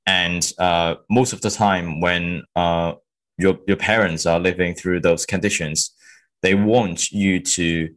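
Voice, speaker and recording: Very low-voiced man, hesitant. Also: fairly bright tone. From Hong Kong. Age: 20s. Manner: hesitant, many ums and restarts. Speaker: guest. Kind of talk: interview answers.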